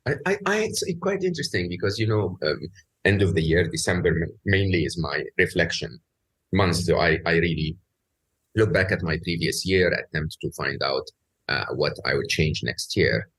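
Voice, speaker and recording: Man, fifties, english speaker, low husky voice, soft-spoken, halting. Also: lively intonation, rough recording. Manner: soft-spoken, halting restarts, laughs often. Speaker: podcast host. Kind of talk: casual conversation.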